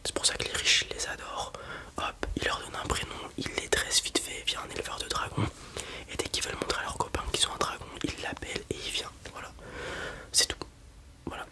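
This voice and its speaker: A man in an ASMR roleplay, whispering breathily as he casually and hesitantly explains things in the role of a breeder. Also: fairly quick.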